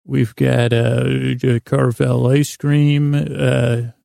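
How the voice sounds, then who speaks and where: Very gravelly, mellow American man, rambling soothingly. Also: medium pitch, little pitch movement, dry recording, studio quality. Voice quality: lulling, soothing tone. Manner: meandering, halting, digressive, self-deprecating. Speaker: bedtime podcast host. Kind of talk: rambling soothing sleep-podcast monologue.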